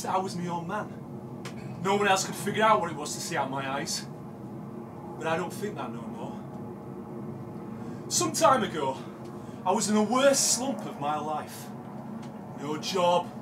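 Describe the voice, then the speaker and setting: An actor playing a bitter, obsessive down-and-out man in a live audio drama monologue, halting and intense as he confesses. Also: high-pitched, background noise. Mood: brooding, then tense, bitter. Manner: halting, brooding, intense storytelling. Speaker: stage actor. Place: small dark café venue with seated audience. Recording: distant live café stage recording, music. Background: music.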